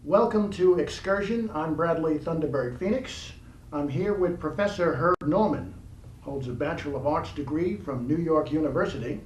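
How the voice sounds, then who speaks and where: A man's voice: a little high, darkish tone, slight background noise. A man hosting an interview show, formally reading out his guests' credits in long lists. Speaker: interview host. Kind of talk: formal introductions for a talk show. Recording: echoey room, mic at a distance.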